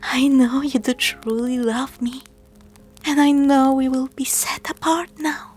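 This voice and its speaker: A woman voicing a heartbroken, deranged young woman in a spooky story, cooing with obsessive, menacing love and breathy giggles. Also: high-pitched, steady tone, unhurried, a little mellow, faint music behind, voice pushed up. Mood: crazed tenderness.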